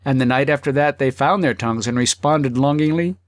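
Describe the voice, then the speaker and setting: A man narrating a humorous literary story as an audiobook, phrasing it deliberately and expressively with pauses. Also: mid-range voice, dry recording, clean studio sound.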